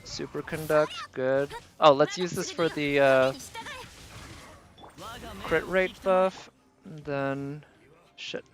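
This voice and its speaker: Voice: mid-range voice, steady tone, takes it slowly, pauses often, soft background music. Casual game streamer muttering through play. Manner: talking to self while playing, mild swearing. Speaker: game livestreamer. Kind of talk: casual live gameplay commentary.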